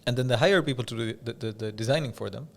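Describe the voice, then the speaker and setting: Man, mid-range voice, conversational storytelling, measured phrasing, pauses, repetition for emphasis, interview guest. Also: mid-range voice, gravelly. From Dubai, UAE. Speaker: podcast guest, business coach.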